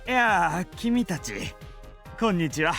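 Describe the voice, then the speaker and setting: A man voicing a polite shop owner in a Japanese visual novel, with measured, courteous delivery. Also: sits high, expressive, creaky. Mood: gentle. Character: polite male shop owner, gentle with an icy edge. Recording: game music behind the voice.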